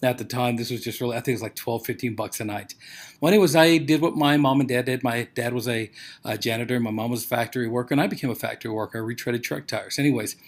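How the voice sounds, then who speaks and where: A man's voice: medium pitch, fast talker. An American man presenting in a casual motivational sales talk, with a conversational, rambling storytelling manner. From United States. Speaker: presenter of a company overview.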